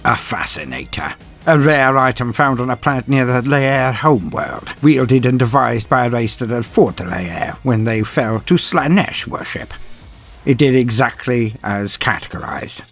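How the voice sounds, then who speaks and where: Some guy doing a grim sardonic inquisitor telling a battle story, husky and really animated, audio drama, music behind. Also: mid-range voice. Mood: intense, wry. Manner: theatrical, brooding, with weighty pauses. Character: grim, weary, proud male inquisitor, dryly sardonic, recounting a battle. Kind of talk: dramatic first-person audio drama narration.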